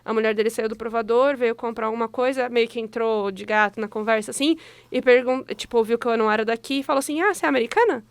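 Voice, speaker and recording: A young woman from Brazil chats on a radio show with a slightly high, gravelly voice. She tells her stories in an animated way and laughs often. The studio sound is dry and close. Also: fast, no echo. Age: young adult. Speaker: radio co-host. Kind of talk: casual chat.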